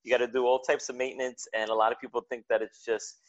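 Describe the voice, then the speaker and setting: A man's voice: mid-range voice, little pitch movement, quick pace. A man guest on a podcast, explaining his dog business earnestly and at length, with many fillers. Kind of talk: casual interview chat. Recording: dull lo-fi sound.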